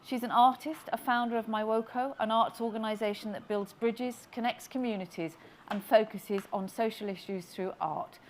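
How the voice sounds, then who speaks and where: A woman's voice: on the high side, fairly even intonation, normal speed, a little hiss or hum. A woman hosting a summit session, introducing speakers in a chatty way with fillers. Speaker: event host.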